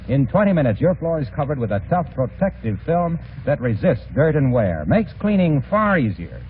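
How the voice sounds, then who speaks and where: Male American ad announcer, 1940s radio style, emphatic and slightly high-pitched, on a tinny vintage recording. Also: a little hiss or hum. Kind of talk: 1940s radio advertising read, scripted.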